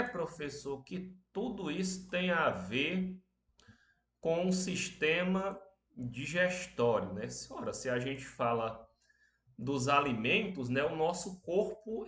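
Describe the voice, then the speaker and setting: Brazilian man, teacher, slow unhurried delivery, slightly hoarse from a cold, soft voice, roomy echo. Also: a little high. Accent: Brazilian Portuguese. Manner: speaks softly, slow and unhurried, many tag questions. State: has a cold, sore throat. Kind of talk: online class lecture.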